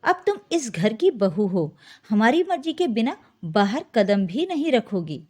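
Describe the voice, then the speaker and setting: A woman's voice: a little high, big pitch swings, measured pace, a little mellow, breathy edge, heavily acted. A woman voicing a domineering, haughty mother-in-law with a bossy, scornful manner in a story.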